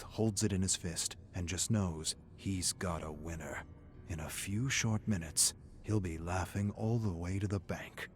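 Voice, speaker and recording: Low-pitched man narrating a dramatic story. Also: slight background noise. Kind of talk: dramatic scripted present-tense narration.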